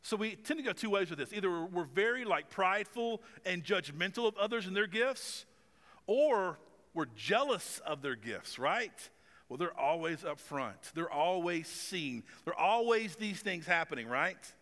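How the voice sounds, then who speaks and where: Man around forty, pastor preaching a sermon, bright ringing voice, a bit high, conversational, reverberant church hall. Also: animated, normal speed. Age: 40s. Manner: conversational and self-deprecating, with stumbles and fillers. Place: church stage with long reverb.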